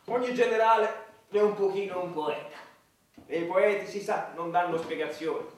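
An actor playing a pompous, formal army general and defence minister in a satirical radio play. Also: male voice, sits high, moderate pace, close to an everyday voice. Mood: pompous, self-satisfied. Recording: echoey hall, distant microphone.

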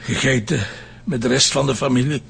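An actor playing a gruff, grieving old Flemish grandfather and farmer in a radio drama, speaking tersely. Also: medium pitch, fairly even intonation, a little fast, a bit bright, a little hiss or hum. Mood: curt, defensive. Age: older, grandfather. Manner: terse, repeating words for emphasis.

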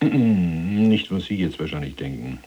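An actor playing an imprisoned former police archivist, chatty, pedantic and evasive, with a rough, husky voice in a radio drama. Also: male voice, low voice, animated, a little slow, dull audio, full character voice. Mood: wry, defensive. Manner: folksy, hedging, placating. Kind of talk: dramatized conversation.

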